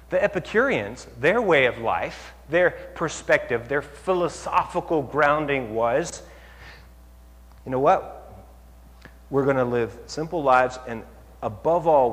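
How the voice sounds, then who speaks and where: A male pastor giving an animated sermon, asking the audience questions and rising to near-shouts to make his points. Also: a little high. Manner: asks the audience rhetorical questions. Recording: long hall reverb.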